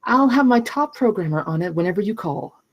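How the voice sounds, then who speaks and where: A woman's voice: medium pitch, animated, fairly quick, lo-fi sound. A woman at a script table read playing a polished, businesslike company manager in her forties. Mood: polished, eager.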